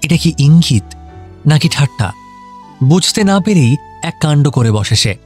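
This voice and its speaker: Male romantic story narrator, soft music. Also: a little high, expressive, a little slow.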